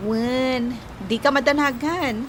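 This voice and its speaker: A woman's voice: sits high, slow, background noise. An actress playing an eager young woman chatting with her friend in a radio drama, with an Ilocano accent. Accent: Ilocano, northern Philippines. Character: eager young woman leaving for work in town.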